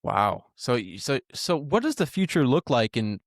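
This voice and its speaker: A man's voice: medium pitch, big pitch swings, normal speed, dry recording, studio quality. A man in his twenties hosting a podcast, talking casually and hesitantly, often restarting his sentences. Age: 20s. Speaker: podcast host.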